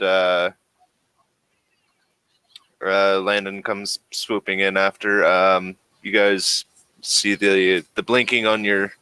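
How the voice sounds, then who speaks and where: A man running a tabletop role-playing game, narrating casually and haltingly, pausing to find his words. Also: lowish voice, bright, forceful tone, clear, resonant tone, no room sound. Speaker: game master.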